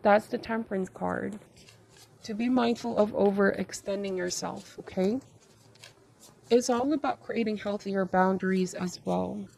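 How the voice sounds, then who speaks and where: A woman with a mid-pitched voice reads tarot cards for her viewers. She talks in short, measured phrases. Her manner is reflective and advising, as she interprets each card and offers guidance. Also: gravelly, a little mellow, slight background noise. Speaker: tarot reader and channel host. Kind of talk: intuitive tarot reading addressed to viewers.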